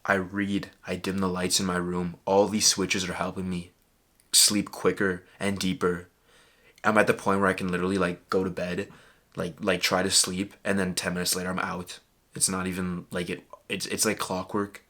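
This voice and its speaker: A young man talking straight to camera about fitness, earnest and emphatic, leaning on "bro" and "literally." His voice sits low and stays fairly level in pitch, with a bright, forward edge. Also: completely flat delivery, normal speed. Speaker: fitness vlogger. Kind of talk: casual motivational talk to camera.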